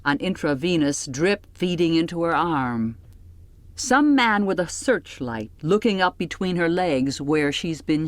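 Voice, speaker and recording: Casting: female literary audiobook narrator, lower register, measured pace, first-person scripted delivery, dry studio sound. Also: dry recording.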